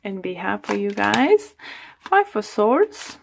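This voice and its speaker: A woman giving a rambling tarot reading, with loose phrasing, lots of fillers, and a voice that is going hoarse. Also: mid-range voice, very animated, slow, a little hiss or hum. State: losing her voice. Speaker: tarot reader. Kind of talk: solo tarot reading to camera.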